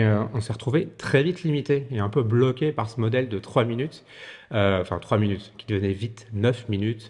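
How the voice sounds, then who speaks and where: A man's voice: lowish voice, a little mellow, in a large hall. A man hosting a podcast interview, talking casually with lots of fillers. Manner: filler-heavy, informal. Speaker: podcast host.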